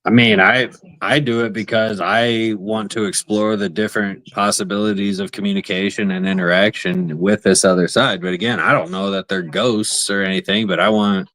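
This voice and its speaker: A man's voice: fairly low pitch, fairly even intonation, moderate pace, a bit bright, poor audio. A man co-hosting a paranormal podcast, chatting casually in a laid-back, agreeable way with short interjections. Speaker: podcast co-host. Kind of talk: casual chat.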